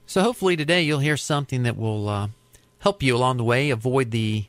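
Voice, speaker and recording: A middle-aged man with an American accent, telling his experiences in a conversational interview in a reflective, explanatory way with halting phrasing. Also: mid-range voice, a bit bright, no echo. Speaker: interview guest, aviation safety advocate.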